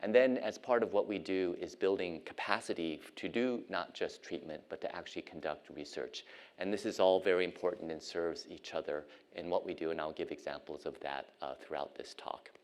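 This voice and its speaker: A middle-aged American man from Ohio giving an academic lecture as a physician and professor, measured and pausing with frequent ums and uhs. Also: low voice. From United States (Ohio).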